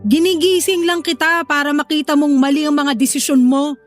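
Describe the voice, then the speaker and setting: Very high-voiced, scolding elder sister acted by a woman. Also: steady tone, a little fast, faint music behind, close to an everyday voice. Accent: Filipino. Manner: accusing, sarcastic. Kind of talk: Filipino audio drama acting.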